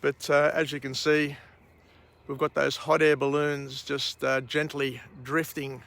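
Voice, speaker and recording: A middle-aged Australian man with a croaky voice after a night out, hosting a rambling outdoor morning vlog and book summary at a vineyard. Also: fairly high pitch, level tone, a bit bright. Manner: slow, rambling, frequent uh and um. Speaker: vlog host.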